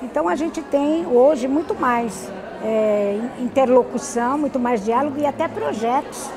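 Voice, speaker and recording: A woman researcher with a Brazilian accent speaks in a high-pitched voice over busy crowd chatter. She explains her points in an interview, haltingly, with frequent little check-in questions. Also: poor audio. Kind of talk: spoken interview answers. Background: crowd noise.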